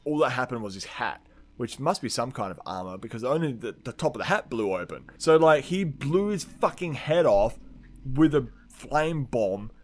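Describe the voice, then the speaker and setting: A man hosting a film-fan podcast, chatting casually with plenty of swearing in a rambling, enthusiastic, slangy way. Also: medium pitch. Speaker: podcast host.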